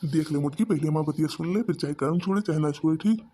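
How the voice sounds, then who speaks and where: A man's voice: on the high side, fast talker, mellow, husky. A man voicing a stubborn, scheming village husband in a comedy cartoon, grumbling and explaining himself in an Awadhi accent. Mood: irritated.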